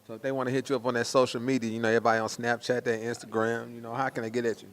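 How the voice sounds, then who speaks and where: A man's voice: medium pitch, flat delivery, racing, fairly bright tone. An American man hosting promotional interviews in a slangy hype-man style, leaning on catchphrases. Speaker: interviewer and host.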